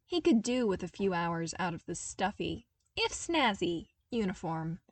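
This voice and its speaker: A woman's voice: lowish voice, sing-song, a little slow, no echo. Woman narrating a story, slightly distant mic. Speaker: podfic narrator reading fan fiction. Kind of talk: expressive scripted story narration.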